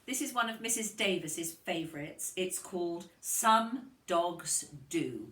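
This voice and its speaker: A woman teacher reading a picture book aloud to children in an expressive storytelling style. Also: lowish voice, slow. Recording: echoey room, distant microphone.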